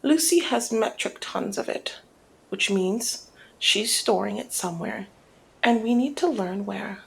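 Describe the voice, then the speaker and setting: Woman acting flirty mob boss, very creaky murmur. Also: mid-range voice, lively intonation. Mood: teasing, confiding. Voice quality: breathy creaky murmur, often dropping to whisper. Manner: teasing, deliberate, drawn-out phrasing. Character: flirty, cunning female mob boss, coolly persuasive. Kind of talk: ASMR roleplay, intimate scripted monologue.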